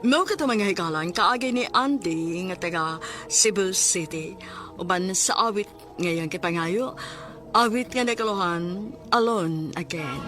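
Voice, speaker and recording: Slow-paced Cebuano woman radio drama host. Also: lowish voice, fairly bright tone, faint music behind. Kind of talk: scripted narration and announcing.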